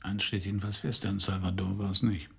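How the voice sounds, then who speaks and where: A man acting in a German radio crime play as a laconic, sardonic private detective who narrates his case, with a husky, gravelly voice. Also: deep voice, little pitch movement, a little fast, sharp tone, exaggerated voice. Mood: wry, brooding.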